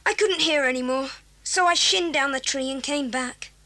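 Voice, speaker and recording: A woman's voice: high-pitched, normal speed, pushed and bright. A woman playing a plucky, practical schoolboy with an eager, earnest way of talking, in a 1980s children's audio drama.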